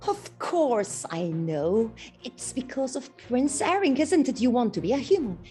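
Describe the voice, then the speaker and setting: A woman's voice: on the high side, big pitch swings, a little slow, mellow, soft background music, pitched above the performer's own voice. A woman voicing a wicked sea witch aunt who is scheming and falsely sweet, drawing out her words in a children's story.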